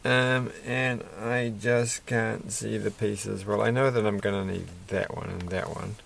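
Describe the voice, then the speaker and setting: A man rambling softly to himself while building a toy kit at his desk at night, self-deprecating and a bit tired. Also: fairly low pitch, steady tone, a little slow, creaky, a little hiss or hum. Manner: soft-spoken, muttering to himself, self-deprecating. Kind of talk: casual rambling build commentary.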